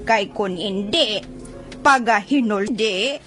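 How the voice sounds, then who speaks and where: Slow, solemn old forest woman. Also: medium pitch, fairly bright tone, soft background music. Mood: solemn warning. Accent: Western Visayan Filipino. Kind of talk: radio drama acting.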